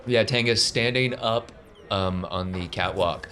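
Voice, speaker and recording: A man's voice: on the low side, unhurried, sharp tone. A man narrating casually as the game master of a tabletop roleplaying game.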